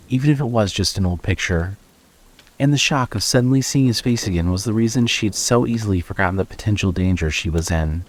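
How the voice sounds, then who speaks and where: Casting: male narrator for scripted horror audio fiction, somewhat low pitch, storytelling delivery.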